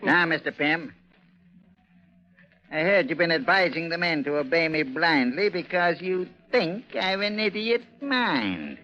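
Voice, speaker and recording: An actor in a 1940s radio drama playing a cruel, paranoid ship's captain, menacing, mocking and explosive. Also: male voice, fairly high pitch, expressive, unhurried, sharp tone. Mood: sneering, menacing. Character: tyrannical, paranoid ship's captain, cruel middle-aged father. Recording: dull, lo-fi 1940s radio recording.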